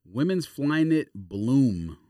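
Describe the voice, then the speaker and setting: Lively man rambling in casual chat. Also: mid-range voice, takes it slowly, no room sound, studio quality. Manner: rambling, searching for words. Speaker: sneaker podcast host.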